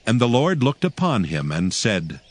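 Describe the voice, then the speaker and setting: A man giving a measured, solemn scripted narration of scripture. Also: fairly low pitch, huge pitch swings. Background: music.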